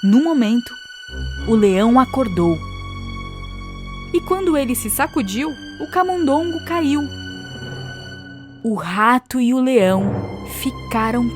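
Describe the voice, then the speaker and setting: A woman's voice: a little high, lively intonation, takes it slowly, long pauses. A woman with a Brazilian accent narrating a scripted fable for children as a storyteller. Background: children's music.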